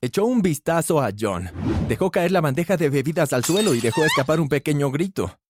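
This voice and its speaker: A man narrating a scripted first-person story with animated delivery, shifting his pitch when he voices the characters. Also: fairly quick, noisy background.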